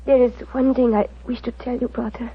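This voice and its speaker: Casting: woman to play dying Romany fortune-teller, tender and solemn, breathy failing voice; dull 1940s radio sound with light noise. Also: fairly high pitch, flat delivery, natural voice. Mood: tender, fading. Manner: slow, solemn, tender delivery. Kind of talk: 1940s radio drama acting. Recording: muffled, noisy 1940s radio recording.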